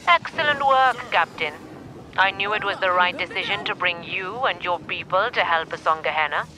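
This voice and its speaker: A woman's voice: fairly low pitch, normal speed, background noise, close to an everyday voice. A woman playing a cool, formal and authoritative corporate director in a video game. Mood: approving. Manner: formal, curt, commanding. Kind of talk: video game character acting.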